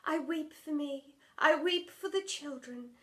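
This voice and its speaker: A woman's voice: high voice, measured pace, pitched above the performer's own voice. A woman voicing a grandiose, melodramatic female drama teacher from a children's storybook, declaiming theatrically in mock-Shakespearean English. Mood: tragic wailing.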